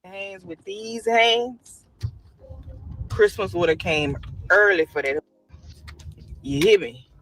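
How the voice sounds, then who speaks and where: A woman giving casual social-media commentary with emphatic, drawn-out bravado, on a replayed clip. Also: medium pitch, animated, a bit bright, a little hiss or hum, poor audio.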